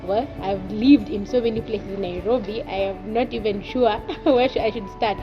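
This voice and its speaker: A young Kenyan woman tells her own story in an easygoing, chatty way, poking fun at herself. Her voice sits at an ordinary middle pitch. The sound is dull and lo-fi, and loud music plays underneath. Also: moderate pace. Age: 20s. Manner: chatty, laughs at herself, drops in Swahili asides. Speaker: guest. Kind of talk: personal life story.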